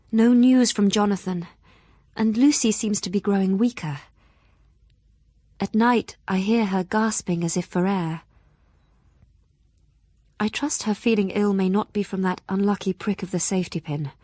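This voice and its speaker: Actress doing earnest young victorian lady reading her diary in an audio drama, tender, dry studio sound, mid pitch. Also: darkish tone, no room sound, lightly acted. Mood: troubled. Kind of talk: audio drama, reading journal and letters aloud.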